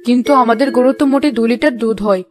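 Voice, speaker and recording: A woman's voice: fairly high pitch, very fast, a little mellow, soft background music, lightly acted. A woman playing a practical, fretful old village wife and mother in a cartoon fairy tale. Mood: hesitant.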